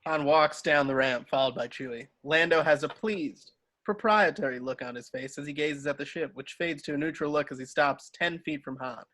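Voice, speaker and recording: A man narrates a film script, reading out scene headings and stage directions. His voice is fairly high for a man, and he works as the narrator of a table read. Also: moderate pace, a bit bright.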